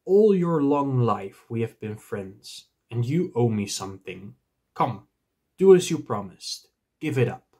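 A man voicing a stern, grave old wizard with a commanding, deliberate manner in a storybook reading. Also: mid-range voice, sing-song, dark tone, husky, heavily acted. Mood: firm, urging.

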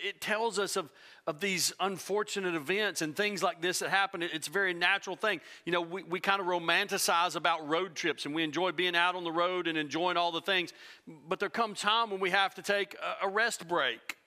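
A middle-aged pastor preaches from a church stage with an animated delivery. He swings between loud emphasis and hushed, near-whispered lines. His voice is bright and fairly high. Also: moderate pace. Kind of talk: sermon.

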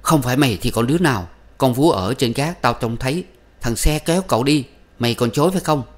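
A man's voice: medium pitch, expressive, moderate pace, fairly bright tone, pitched above the performer's own voice, close to an everyday voice. A man voicing an angry, proud young wife who scolds sharply. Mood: angry, indignant.

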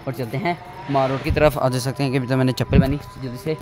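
A man's voice: mid-range voice, fairly even intonation, fast talker. A teenage young man with a Pakistani accent, narrating a casual travel vlog into a handheld phone, often repeating himself as he addresses viewers. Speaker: vlogger. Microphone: phone at arm's length. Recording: noisy handheld phone recording, ambient sound.

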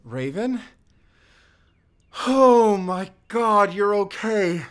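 A man playing an anxious, tender father and lover in an audio roleplay, speaking haltingly with sighs. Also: high voice, expressive, exaggerated voice. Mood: relieved, anxious. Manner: halting, sighing, soft-hearted.

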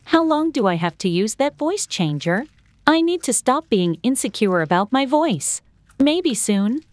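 A woman's voice: on the high side, big pitch swings, measured pace, no echo. A woman playing an insecure but friendly girl gamer in an amateur scripted storytime drama, speaking earnestly. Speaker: voice actor in an animated storytime drama. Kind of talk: scripted amateur roleplay dialogue.

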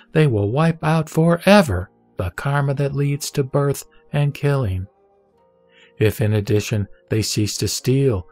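Male narrator for sacred text: solemn, measured reading in a medium register, soft music underneath. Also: medium pitch, dry recording. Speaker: reciter of Buddhist scripture. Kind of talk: scripted reading of a sutra. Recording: dry voice over background music. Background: background music.

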